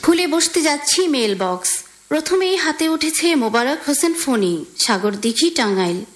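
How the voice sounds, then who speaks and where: A woman's voice: high voice, very animated, normal speed, soft background music. A woman with a Bangladeshi accent co-hosting a radio show and presenting listeners' letters.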